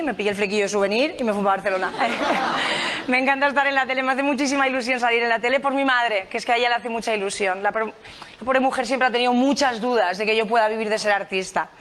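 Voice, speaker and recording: A woman's voice: fairly high pitch, a bit bright. A woman from Valencia, Spain, doing a brash, self-mocking stand-up comedy monologue on a theater stage. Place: theater stage with a live audience. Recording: live show with audience noise.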